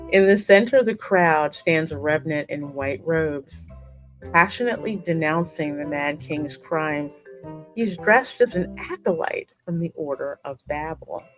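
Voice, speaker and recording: Low-pitched female gaming host, casual commentary, reads aloud, thinks out loud, faint music behind. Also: unhurried, rough recording. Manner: conversational, reacting and musing aloud. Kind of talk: casual game playthrough commentary with read-aloud narration.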